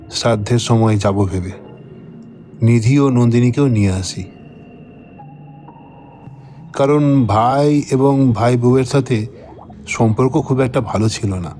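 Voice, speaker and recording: Slow, dark-voiced male storyteller. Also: medium pitch. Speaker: first-person story narrator. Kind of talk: scripted horror story narration.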